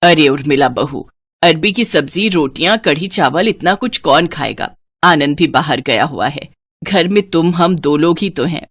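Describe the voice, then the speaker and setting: A woman's voice: low voice, animated, moderate pace. A woman voicing a patient but scolding older mother-in-law who lectures about waste.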